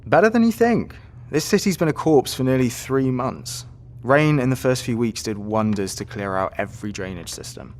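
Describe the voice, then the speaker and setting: An actor playing a breezy, courteous and wry Royal Marines lieutenant in an audio drama. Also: male voice, mid-range voice, lively intonation, normal speed, close to an everyday voice. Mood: wry, explanatory.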